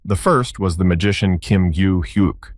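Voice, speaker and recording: Deep-voiced man narrating a recap. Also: unhurried, dry recording.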